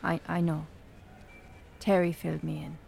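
A woman's voice: low voice, flat delivery, moderate pace, creaky, darkish tone, a little hiss or hum. An actress playing a frank, concerned small-town Irish woman, blunt and earnest, in naturalistic radio drama.

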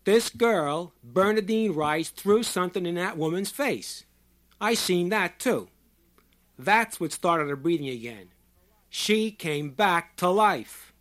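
Man acting a loud, insistent squat working-class man. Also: on the high side, sing-song. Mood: angry, accusing. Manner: shouting, slow emphatic declarations in folksy ungrammatical speech. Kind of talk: audiobook character voice.

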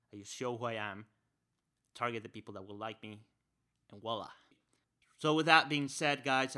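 Bright-toned young male podcast host. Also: mid-range voice. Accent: Spanish-speaker's accent. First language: Spanish. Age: young adult. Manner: energetic, repeats key phrases, says "right?" often. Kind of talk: podcast interview and solo wrap-up.

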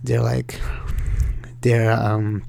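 A man's voice: mid-range voice, extremely slow, creaky. Sri Lankan-born man telling a story. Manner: animated, rambling with many fillers and restarts. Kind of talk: casual storytelling.

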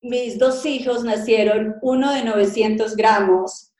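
A middle-aged woman born in Colombia, a cake designer, telling her story in a lively conversational way and acting out little dialogues. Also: fairly high pitch, measured pace, reverberant. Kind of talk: conversational interview.

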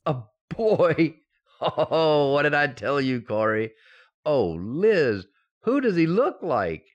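A man's voice: a little high, big pitch swings, slow, dull audio. Befuddled, swoopy sitcom husband, acted by a man. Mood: bewildered, eager. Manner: hesitant, stammering comic delivery. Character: excitable, befuddled 1940s sitcom husband, affectionate. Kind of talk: 1940s radio sitcom acting.

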